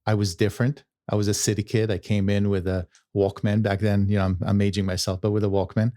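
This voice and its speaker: A man's voice: lowish voice, little pitch movement, quick pace, warm tone, no echo, studio quality. A man hosting a podcast, who was born in Israel and grew up in New York, asking earnest leading questions and often checking in with "right?". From born in Israel, raised in New York. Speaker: podcast host. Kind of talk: interview hosting.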